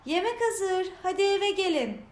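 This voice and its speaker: Woman playing a high-voiced, practical farm mother. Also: expressive, moderate pace. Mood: calling, caring. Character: kindly, practical farm mother calling children. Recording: roomy echo, some noise.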